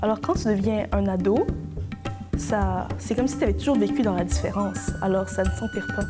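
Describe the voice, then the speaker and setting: A woman's voice: mid-range voice, fairly quick, loud music behind the voice. A woman explaining thoughtfully, in a casual testimony.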